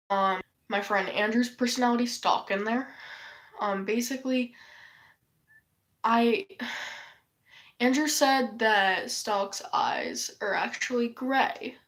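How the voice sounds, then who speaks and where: A woman's voice: a little high, fairly bright tone. A woman who is losing her voice from a cold, rambling in a casual vlog, sighing often and swearing offhandedly. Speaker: vlogger.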